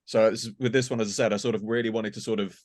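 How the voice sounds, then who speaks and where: A man's voice: lowish voice, fairly even intonation, fast talker, darkish tone, dry recording, clean studio sound. A man chatting casually in a group as an audio drama creator, rambling and acting out little quoted asides as he tells stories. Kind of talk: casual group chat, behind-the-scenes reminiscing.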